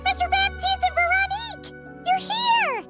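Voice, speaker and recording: A woman voicing a tiny, chirpy, childlike companion creature in a video game with a squeaky voice. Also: moderate pace. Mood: cheerful. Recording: children's music behind the voice.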